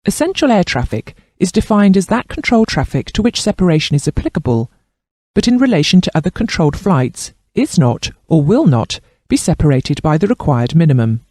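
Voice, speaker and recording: A man reading scripted instructional narration as a training narrator, in a formal, measured and precise manner. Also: a little high, a little mellow, no room sound, studio quality.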